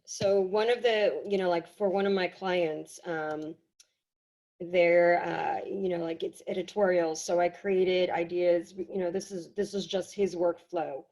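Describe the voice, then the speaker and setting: A woman giving a casual software tutorial, explaining in a halting, conversational way, slightly hoarse from a cold. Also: lowish voice, steady tone. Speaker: workshop presenter. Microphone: video call.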